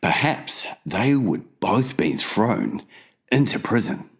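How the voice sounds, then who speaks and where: A male audiobook narrator reads a children's story with a hugely varied, animated melody. His pace is slow and measured, and the reading is scripted and dramatized. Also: on the low side, vocal fry.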